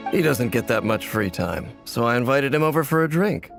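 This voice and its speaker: A man's voice: mid-range voice, lively intonation, fairly quick, gravelly. A man voicing an easygoing, sociable swordsman in a video game, casually teasing. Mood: teasing, friendly. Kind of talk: dramatic game voice acting. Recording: music under the voice. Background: music.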